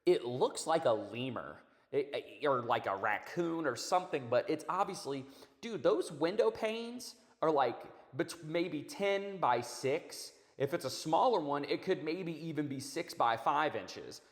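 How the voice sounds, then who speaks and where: Hoarse, raspy man with tunnel-like echo. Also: on the high side, animated, unhurried. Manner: rambling, laid-back, says dude and man. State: hoarse after illness. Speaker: channel host. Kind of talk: casual commentary on clips.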